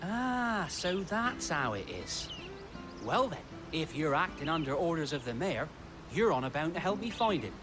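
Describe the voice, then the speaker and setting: High-voiced young British village lad. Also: male voice, big pitch swings, normal speed, over music. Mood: puzzled, worried. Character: worried young male village chocobo keeper, plain-spoken. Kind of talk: video game cutscene acting.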